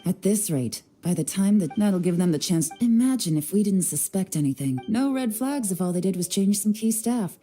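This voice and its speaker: An actress playing a cool, composed and shrewd young woman in charge of an investigation in a video game, measured and commanding. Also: medium pitch, animated, warm tone, faint music behind, natural voice. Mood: calm, analytical. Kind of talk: video game character acting.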